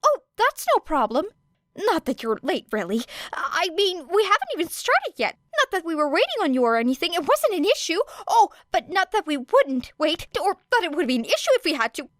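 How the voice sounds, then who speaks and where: A woman's voice: extremely high pitch, very animated, brisk, no echo, studio quality, voice pushed up. Woman voicing a flustered rambling teen boy. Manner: eager, apologetic rambling, tripping over himself. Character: nervous rambling teenage boy hero student. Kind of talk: audiobook character voice.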